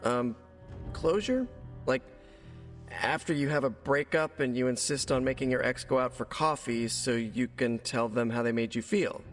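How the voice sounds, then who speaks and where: A chatty, well-meaning young guy, played by a male actor, talks like a glib best friend in a game cutscene. His mid-pitched voice rings with long reverb, and music plays behind him. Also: expressive, moderate pace, lightly acted. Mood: breezy, earnest. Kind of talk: video game cutscene acting.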